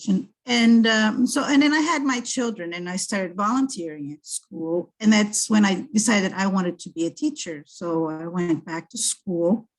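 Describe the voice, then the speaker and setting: A woman's voice: mid-range voice, moderate pace, hardly any pauses, low-quality recording. A middle-aged woman from Brazil, a guest talking in a casual interview, thoughtful and full of restarts. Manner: thoughtful, with frequent restarts and fillers. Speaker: guest, French teacher and PhD candidate.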